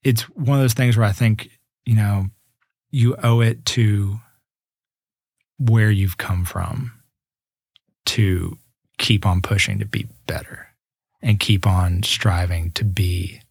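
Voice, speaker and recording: A young man in his twenties talks about his path as a musician in an easygoing interview. His voice sits a little low and he speaks earnestly, often circling back to restart a thought. The sound is dry, with no room around it. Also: fairly even intonation, takes it slowly, vocal fry, long pauses, darkish tone, husky, no echo. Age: 20s. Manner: earnest, reflective, with many restarts. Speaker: guest musician. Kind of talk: casual podcast interview.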